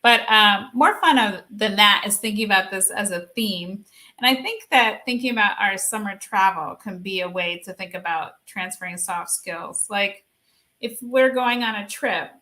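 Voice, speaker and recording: A woman's voice: medium pitch, normal speed, sharp tone. A middle-aged woman speaking casually as a panelist, sharing parenting tips and acting out bits of dialogue conversationally.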